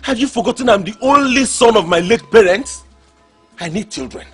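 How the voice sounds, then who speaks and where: An actor with a Nigerian accent playing a grieving, then selfish widower in a drama, given to loud dramatic outbursts. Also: male voice, sits high, expressive, moderate pace, slightly rough, soft background music, full character voice. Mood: defiant. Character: grieving then selfish Igbo widower, middle-aged man. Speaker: widower husband. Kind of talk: Nollywood drama acting.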